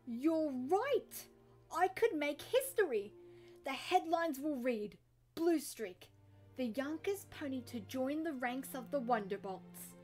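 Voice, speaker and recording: A woman's voice: high voice, lively intonation, slow, a little mellow, soft background music. A woman playing an eager eighteen-year-old mare in an amateur cartoon fan audio drama, gushing and exclaiming. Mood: excited, proud.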